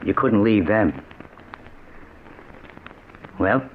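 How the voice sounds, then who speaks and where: Low-voiced menacing thug, tinny old radio. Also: male voice, lively intonation, extremely slow, full character voice. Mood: gloating menace. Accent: American. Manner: tough slangy gangster talk. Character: menacing male criminal, cold-blooded blackmailing thug. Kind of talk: old radio crime drama acting. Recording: tinny, noisy vintage radio recording.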